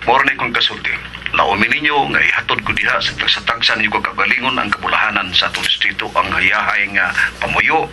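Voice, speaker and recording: A man's voice: lowish voice, fast talker, sharp tone, soft background music. A man playing an effusive politician giving a declamatory victory speech in a radio drama. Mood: solemn promising. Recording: slightly noisy radio drama recording.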